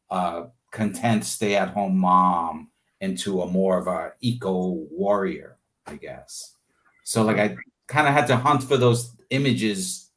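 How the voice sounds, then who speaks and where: A man being interviewed as an artist, talking casually and thoughtfully about his creative process, often searching for words and peppering in "like" and "you know". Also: deep voice.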